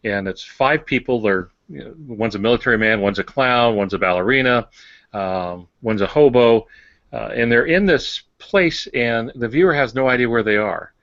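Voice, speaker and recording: A man's voice: mid-range voice, normal speed, fairly bright tone, no echo, dull audio. An older American man co-hosting a writing podcast, casually explaining with examples and asides. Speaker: podcast co-host on fiction writing. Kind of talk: casual instructive discussion.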